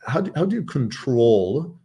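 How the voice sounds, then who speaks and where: A man's voice: mid-range voice, very animated, a little slow, warm tone, lo-fi sound, slight background noise. A man hosting a conversational tech podcast, thoughtfully building his questions step by step. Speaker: podcast host.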